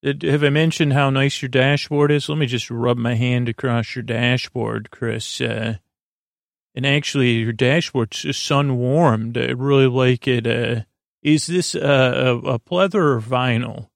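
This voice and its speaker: A man hosting a sleep podcast, talking gently in a meandering, halting, goofy monologue with a creaky voice. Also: medium pitch, fairly even intonation, no room sound, studio quality. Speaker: podcast host.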